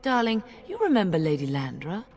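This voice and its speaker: An actress voicing a brisk, refined noble mother in a fantasy video game, wry and commanding. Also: lowish voice, huge pitch swings, a little mellow.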